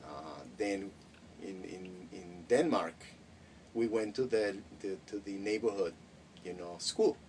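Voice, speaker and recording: Low-voiced man with hesitant speech. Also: takes it slowly, darkish tone. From Mexico. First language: Spanish. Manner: reflective, many hesitations and restarts. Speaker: interviewee. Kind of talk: casual interview. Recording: distant mic with faint noise.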